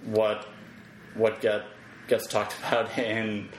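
A man speaking as a podcast guest in casual conversation, thinking aloud in a reflective, halting way. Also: lowish voice, completely flat delivery. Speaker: podcast guest, web developer and comedy performer. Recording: roomy echo, faint background noise.

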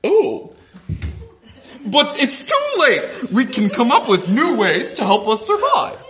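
A man's voice: very high-pitched, wildly swooping pitch, slow, a bit bright, exaggerated voice, rough recording. Man doing an eager, dim dodo, wildly swooping. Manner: exclamatory, clueless, exaggerated. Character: dim, eager dodo, one of the last survivors. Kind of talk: comedy sketch reading, like a radio play.

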